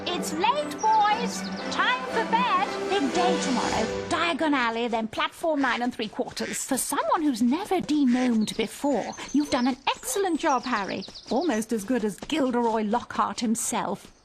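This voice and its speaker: A woman's voice: sits high, expressive, unhurried, few pauses. Scolding british mum witch from a video game, exclamatory, really up and down voice, music under it. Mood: motherly, brisk. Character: fussy, scolding middle-aged mother witch, quick to soften.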